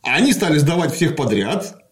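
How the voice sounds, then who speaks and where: A man in a casual conversation, explaining history at length in a lecturing way, often pausing with fillers. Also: on the high side, sing-song.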